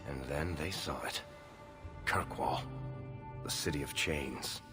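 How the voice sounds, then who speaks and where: Sly storyteller, very deep voice, wry and theatrical, music underneath. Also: male voice, slow. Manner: wry, theatrical tale-telling. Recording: game cutscene with music.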